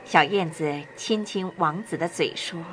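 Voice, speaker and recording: A woman narrating a children's fairy tale from a script, with an expressive storytelling manner. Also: fairly low pitch, measured pace, a little hiss or hum. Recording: clear music bed. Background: music.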